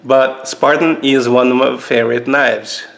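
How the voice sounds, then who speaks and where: A man with a Russian accent casually reviewing knives at a table for his channel, halting with many fillers and restarts. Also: mid-range voice, steady tone, gravelly, a bit bright. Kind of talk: casual knife review vlog. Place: indoors at a table.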